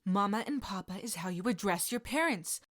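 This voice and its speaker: A woman's voice: mid-range voice, expressive, a little fast, fairly bright tone. A woman voicing a cold, haughty aristocratic witch mother who gives clipped, disdainful orders.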